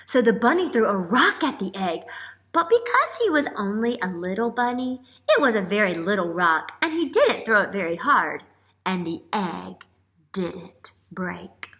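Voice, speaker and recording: A woman in her thirties, a teacher reading a picture book aloud to young children and asking them questions with drawn-out emphasis. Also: medium pitch, big pitch swings, a bit bright. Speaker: elementary school teacher. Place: small classroom-like room, indoors. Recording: roomy indoor echo.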